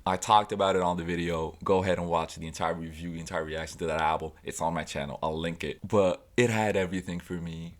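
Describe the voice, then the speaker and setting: A young man from Tunisia, a native Arabic speaker, chatting casually about music he recommends, with loose fillers and restarts. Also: low-pitched. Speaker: music vlogger.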